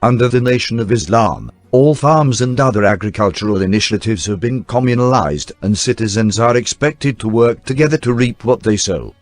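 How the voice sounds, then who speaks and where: A man reading scripted newsreel documentary narration in a formal, measured announcer style. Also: medium pitch, lively intonation, dry recording. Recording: dry voice-over with faint music bed. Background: faint background music.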